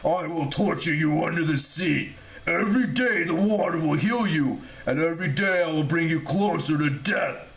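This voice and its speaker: A man's voice: a little high, normal speed, slight background noise, voice pushed up, close to an everyday voice. A man voicing a menacing, vengeful sea giant, drawing out growled and bellowed threats in a storybook reading.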